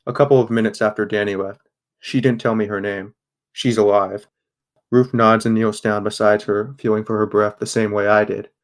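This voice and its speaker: A young man playing a guarded, sardonic teenage boy who narrates a grim audio drama in a subdued, even voice. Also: on the low side, moderate pace, lightly acted. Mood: somber. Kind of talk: first-person scripted narration, audio drama.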